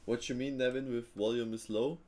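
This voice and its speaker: A man's voice: medium pitch, fairly even intonation, brisk, a little mellow. A man hosting a live trading stream, chatting casually with viewers and thinking aloud with fillers and easy laughs.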